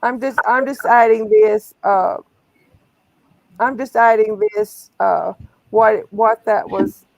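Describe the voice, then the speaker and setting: A woman's voice: fairly high pitch, slow, vocal fry, dry recording, highs cut off. A woman hosting a casual livestream, chatty and often restarting her phrases. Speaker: livestream host.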